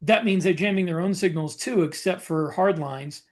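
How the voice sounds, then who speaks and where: A man's voice: high voice, normal speed, a little mellow. A man co-hosting a writers' podcast, explaining things in a casual panel discussion. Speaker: podcast co-host and military science fiction author.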